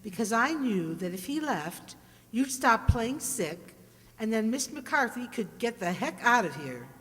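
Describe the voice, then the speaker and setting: A woman playing a scheming, jealous, doting wife in an old-time radio sitcom, theatrically expressive. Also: fairly high pitch, a little slow. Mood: coy, jealous. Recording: echoey hall.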